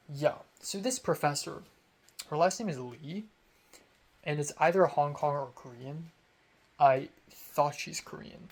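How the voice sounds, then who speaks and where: A young man, a college student, telling a rambling, self-amused story in a casual vlog. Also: on the high side. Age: young adult.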